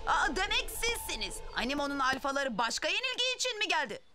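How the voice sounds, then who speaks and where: A brash ten-year-old cartoon boy with a very high, bouncy voice. He is cocky, teasing and full of energy. Loud music runs behind him. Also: very animated, fast talker. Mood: defiant, brash. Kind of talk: animated adventure dubbing.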